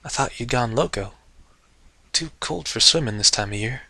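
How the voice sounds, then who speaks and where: Guy doing a folksy farm mare, steady, terse, concerned, storybook voice, dry sound. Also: medium pitch, normal speed, creaky, dry recording, heavily acted. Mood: grave, concerned. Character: plainspoken, worried female farm pony, steady and concerned.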